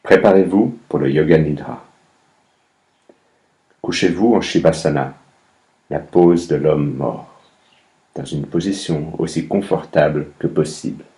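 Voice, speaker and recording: A man guiding a meditation as a yoga nidra instructor, speaking calmly in measured instructions with long pauses between phrases. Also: extremely low pitch. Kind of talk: guided meditation, slow instructions.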